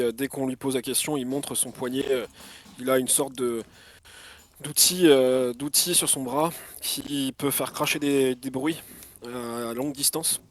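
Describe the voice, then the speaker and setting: A man player presenting his tabletop character in a halting way, with many fillers and restarts. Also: medium pitch.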